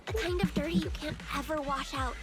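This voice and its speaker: A woman's voice: high-pitched, a little fast, natural voice. An actress playing a precocious, blunt schoolgirl in a video game, sassy and dismissive of grown-ups, earnest and determined underneath. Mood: serious, worried. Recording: game music behind the voice.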